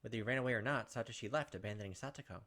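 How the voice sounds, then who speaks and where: A man's voice: mid-range voice, very fast, fairly bright tone. A young man doing casual game commentary and reading story narration aloud. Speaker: gaming video host.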